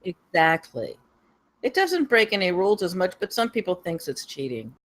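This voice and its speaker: A woman reads the part of a worldly, easygoing wife in a film script. Her voice is slightly low for a woman and sounds matter-of-fact and knowing. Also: sing-song, normal speed. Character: knowing, experienced, easygoing married swinger woman. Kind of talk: screenplay table read.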